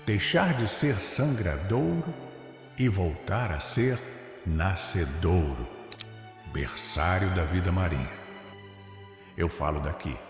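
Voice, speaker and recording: Man voicing a gravelly, echoing wounded river. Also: sits low, expressive, slow pace, long pauses, heavily acted. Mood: yearning, solemn. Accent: Brazilian. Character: wounded, thirsty old river speaking with solemn longing. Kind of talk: dramatic poetic first-person narration. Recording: cavernous echoing reverb with faint music.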